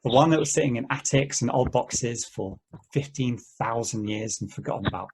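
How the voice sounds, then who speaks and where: A man playing an easygoing game player in an amateur table read, talking in a halting, conversational way. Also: medium pitch, noisy background, natural voice. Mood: storytelling. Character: easygoing, rambling male player of an endless treasure-hunt game.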